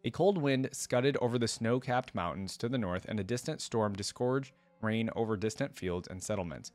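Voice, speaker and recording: A man hosting a reaction video, reading lore aloud and adding casual, informal asides as he thinks out loud. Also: fairly low pitch, normal speed, no room sound.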